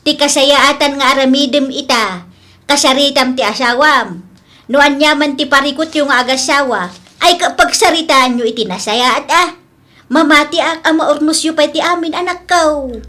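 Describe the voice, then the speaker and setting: A woman's voice: high voice, normal speed, sharp tone. A woman playing a caring mother who gives earnest, coaxing advice in a radio drama. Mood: concerned, coaxing. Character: caring, advising mother of a grown son. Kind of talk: Ilocano comedy radio drama acting.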